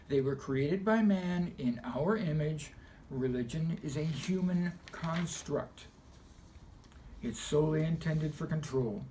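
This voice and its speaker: A middle-aged man from Los Angeles with an American accent, delivering a scripted personal monologue in deliberate, emphatic, short measured phrases. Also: fairly high pitch, mellow, a little hiss or hum. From Los Angeles, United States. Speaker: video essayist telling personal views.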